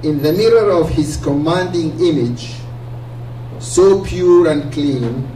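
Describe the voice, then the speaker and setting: A man hosting an event, reciting a poem in a slow, declamatory way. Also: on the high side, mellow. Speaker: event host. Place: small community hall. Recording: roomy hall, faint hum, from far off.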